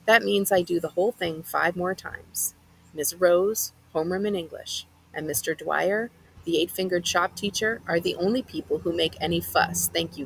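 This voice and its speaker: A woman librarian reading a first-person children's novel aloud as expressive narration. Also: lowish voice, gravelly, a little hiss or hum, low-quality recording.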